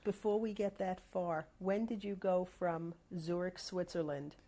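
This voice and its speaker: A woman's voice: lowish voice, fairly even intonation, measured pace, dark tone. A woman interviewing an older survivor, who formally reads out names, dates and places. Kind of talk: oral history interview.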